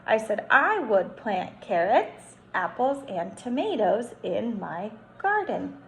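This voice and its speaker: A young woman reads aloud to small children as their teacher, slow and deliberate, with noticeable creak in her voice. She stretches key words and explains as she goes. The room rings around her voice. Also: medium pitch. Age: young adult. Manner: animated, instructive, stretches words for emphasis. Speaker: kindergarten teacher. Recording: roomy echo, faint background noise.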